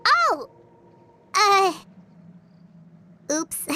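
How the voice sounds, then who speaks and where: A woman voicing a small, excitable, childlike fairy companion in a video game, squeaky and blunt. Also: very slow, noisy background, full character voice. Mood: excited, flustered. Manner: exclamatory, refers to self in third person. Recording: music playing behind the voice.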